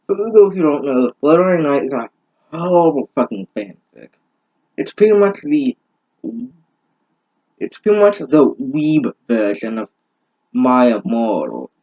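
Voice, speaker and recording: A man's voice: a little high, darkish tone, lo-fi sound. A man giving a mocking reading of fan fiction, breaking in with exasperated, sarcastic, sweary asides. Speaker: host of a bad-fanfiction reading series.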